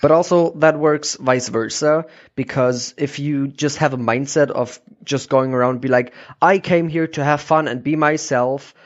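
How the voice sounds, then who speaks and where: A woman's voice: sits low, normal speed, few pauses. A woman hosting a podcast interview in a casual, conversational way. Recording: dull lo-fi podcast recording.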